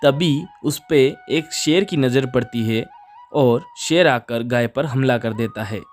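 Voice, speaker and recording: A man's voice: medium pitch, slow pace. A man narrating a scripted children's moral story. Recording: music under the voice. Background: background music.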